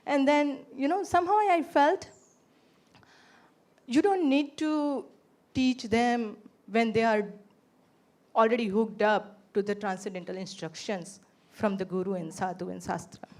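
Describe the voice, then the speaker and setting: A woman giving a heartfelt personal testimony as a pilgrim, telling her story in animated bursts. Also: a little high, a little slow. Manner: animated storytelling, quotes herself in lively bursts. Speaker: pilgrim sharing reflections.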